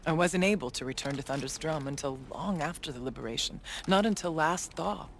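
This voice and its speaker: Solemn shaman huntress lady, formal measured game drama, bit of background noise. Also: deep voice. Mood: grave, regretful. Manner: measured, formal storytelling phrasing. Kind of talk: dramatic video game cutscene acting.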